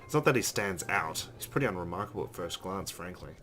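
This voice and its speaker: A male let's play host with a lowish voice reads game narration aloud. He phrases it like a storyteller, with clear guitar music playing behind him. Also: animated, fast, fairly bright tone. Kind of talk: reading visual novel narration aloud. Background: background music with guitar.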